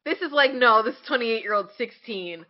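A woman's voice: on the high side, animated, normal speed, highs cut off. A woman in her mid-twenties chatting casually as a podcast co-host, opinionated and emphatic, with blunt wording. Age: mid-20s. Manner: blunt, frequent swearing, emphatic.

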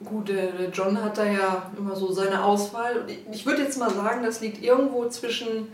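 A woman being interviewed, chatting casually in a halting, tongue-in-cheek way. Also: medium pitch, steady tone. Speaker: interviewed publishing staffer. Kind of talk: casual chat. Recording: echoey, distant, clearly noisy background.

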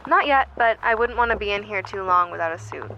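A woman's voice: medium pitch, expressive, fairly quick, bright, forceful tone, a little hiss or hum, poor audio. A woman playing a wry, pragmatic field investigator in a naturalistic audio drama, speaking informally. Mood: wry, focused.

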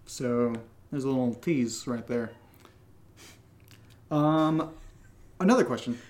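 A man's voice: medium pitch, takes it slowly, darkish tone. A man co-hosting a casual question-and-answer chat, telling stories in a playful, self-deprecating way. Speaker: podcast co-host and audio drama writer.

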